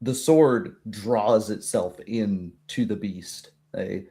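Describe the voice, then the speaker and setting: Slow, low-pitched man narrating a fantasy game. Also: warm tone. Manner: dramatic, descriptive storytelling. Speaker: game master. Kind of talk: tabletop roleplay narration.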